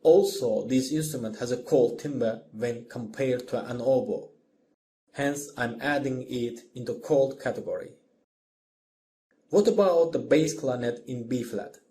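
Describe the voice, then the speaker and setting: A man giving a scripted lecture as a voice-over, in a measured, explanatory manner. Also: medium pitch, mellow, slight background noise. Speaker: music lecturer.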